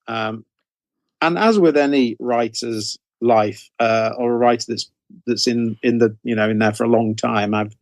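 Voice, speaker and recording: A man's voice: mid-range voice, no echo. British man in his sixties, dry close sound. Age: 60s. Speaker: interview guest, horror author. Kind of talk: conversational storytelling in an interview.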